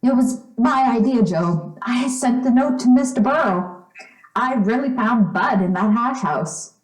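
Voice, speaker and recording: A woman's voice: a little high, animated, a little slow. A woman playing a plain-spoken young woman in an old-time radio suspense play. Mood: concerned, explaining. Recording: echoey room.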